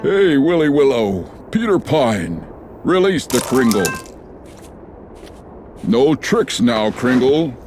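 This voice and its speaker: A theatrical old warlock for a cartoon game, crusty but softening. He draws out his words slowly with swooping, animated intonation over music. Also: mid-range voice. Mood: amused. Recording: music behind the voice.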